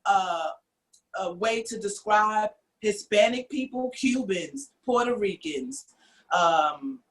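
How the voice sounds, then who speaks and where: A woman's voice: mid-range voice, lively intonation, slow, rough recording. A woman from the United States hosting a podcast, giving her opinions in an earnest, emphatic way. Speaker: podcast host. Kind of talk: casual podcast discussion.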